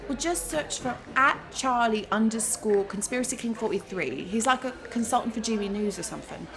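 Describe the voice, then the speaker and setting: A woman's voice: on the high side, lively intonation, fairly quick. A woman playing a gullible, opinionated young woman in a satirical audio drama, breezily sure of muddled facts. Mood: confidently explaining. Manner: confidently misinformed, breezy, casual.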